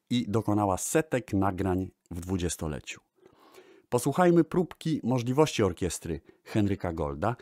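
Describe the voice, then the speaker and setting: A man's voice: on the low side, dry recording, studio quality. A man presenting music history in a radio style, formally and eloquently, addressing his audience politely. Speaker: host presenting archive records.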